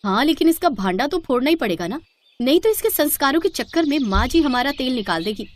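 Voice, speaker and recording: A woman's voice: high-pitched, animated, fast, no echo. A woman voicing gossipy young daughters-in-law, sarcastic and conspiratorial, in a moral story. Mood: suspicious. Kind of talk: acted moral-story dialogue.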